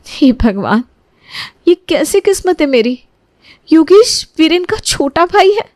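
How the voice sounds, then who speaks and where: A woman's voice: extremely high pitch, big pitch swings, a little slow, a little mellow, no room sound, voice pushed up, full character voice. A woman voicing a pleading, lovelorn young woman in her twenties in a cartoon family story. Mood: troubled. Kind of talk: cartoon moral-story dialogue.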